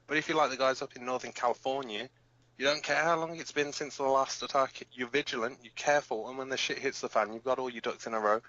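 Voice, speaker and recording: A man's voice: mid-range voice, fairly even intonation, quick pace, pushed and bright, lo-fi sound, close to an everyday voice. A man playing a hot-headed, protective resistance fighter who hosts a rebel radio broadcast in an audio drama, blunt, emphatic and given to swearing. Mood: indignant, heated.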